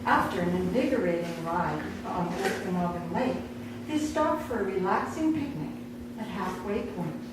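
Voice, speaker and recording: A woman reads fiction aloud in a very reverberant room, picked up some way from the microphone. Her delivery is slow, deliberate and dramatic, shaped as expressive storytelling at a live event. Clear background noise sits behind her. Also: lowish voice. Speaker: author reading own story. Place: indoor awards night event. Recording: echoey room, distant mic, noisy background.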